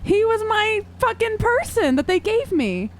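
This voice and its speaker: A woman's voice: extremely high pitch, very animated, a little slow, slight background noise. A woman playing a tabletop game, bantering casually in a blunt, joking way.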